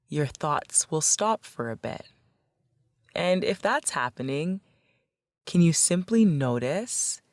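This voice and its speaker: A woman leading a guided meditation as a facilitator, speaking in a gentle, measured, instructional way. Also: low-pitched, no room sound, clean studio sound.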